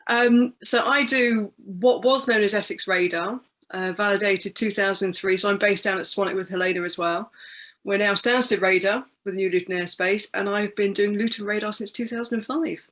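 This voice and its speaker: A woman's voice: mid-range voice, fairly even intonation, normal speed, fairly bright tone. A British woman in her forties, an air traffic controller, chatting informally about herself and her work. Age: 40s. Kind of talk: casual self-introduction and chat.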